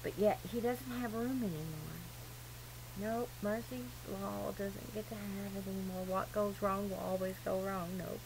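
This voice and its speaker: Female, mid-pitched, emphatic amateur preacher in a loose personal monologue; noisy, humming amateur sound. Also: steady tone, moderate pace, darkish tone. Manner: earnest, emphatic, digressive. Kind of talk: rambling informal religious monologue. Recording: noisy amateur recording with clear hum.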